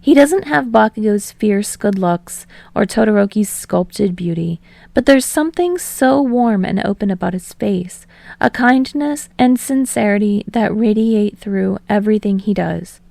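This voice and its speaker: A woman narrates scripted fiction in a mid-pitched voice with noticeable vocal fry. She reads as an audiobook storyteller, and the sound is dry and close. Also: unhurried, dark tone, no echo.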